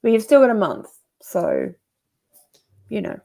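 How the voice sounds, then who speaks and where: A woman's voice: fairly high pitch, lively intonation, measured pace, gravelly, warm tone. A middle-aged woman hosting a casual livestream chat, chatty and laughing often as she reads comments aloud. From Australia. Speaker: host.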